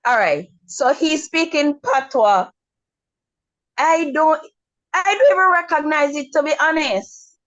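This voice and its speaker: Jamaican lady on a webinar call, slow and frank, lots of ums and restarts, a bit muffled. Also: sits high, a bit bright. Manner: frank, repeats words, many ums. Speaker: webinar attendee. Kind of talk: casual remarks on a video call. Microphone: video call.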